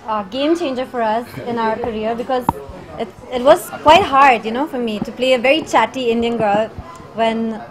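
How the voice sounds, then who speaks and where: A woman's voice: a little high, moderate pace. A woman actress answering press questions about her film, chatty and searching for words with many fillers. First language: not Hindi. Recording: noisy crowd around the press interview.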